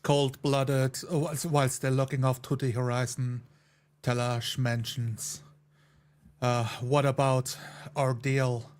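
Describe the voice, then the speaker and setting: A man playing a tabletop game, narrating his character in the third person with measured, broken-up phrases. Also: mid-range voice, little pitch movement. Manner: measured, pausing between phrases.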